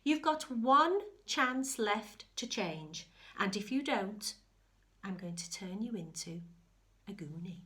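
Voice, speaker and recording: A woman putting on a slow, scolding storybook fairy. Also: medium pitch, lively intonation, a bit bright. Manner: emphatic, sing-song telling-off. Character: scolding good fairy, stern female magical creature.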